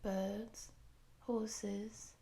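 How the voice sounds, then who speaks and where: Woman acting as polite female program interviewer, audio roleplay, soft breathy near-whisper, formal n earnest, mid pitch. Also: little pitch movement. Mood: polite, explanatory. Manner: measured, formal, official.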